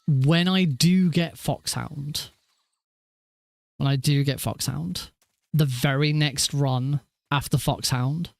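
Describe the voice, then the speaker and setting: A man with a naturally high voice, rambling casually and self-deprecatingly as he hosts a gaming livestream. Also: vocal fry, a little mellow, dry recording. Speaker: livestreaming speedrunner and host. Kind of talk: casual livestream chat over gameplay.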